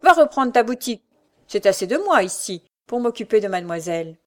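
A woman's voice: high-pitched, sing-song, a little slow, a little mellow, pitched above the performer's own voice, heavily acted. A woman voicing a coarse, scheming old beggar woman with a brusque, colloquial manner in a storybook reading. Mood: brusque, irritated.

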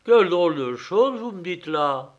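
A man voicing a rowdy drunk masked man who bosses and mocks everyone in a loud, jeering way. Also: high voice, lively intonation, moderate pace, highs cut off, pitched above the performer's own voice.

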